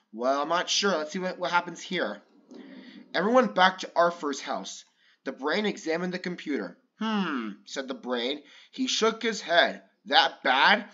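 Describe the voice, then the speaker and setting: A man's voice: fairly high pitch, highs cut off. Man reading a picture book aloud. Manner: breaks off to comment casually between pages; lively, with raised voices for excited lines. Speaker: read-along storyteller.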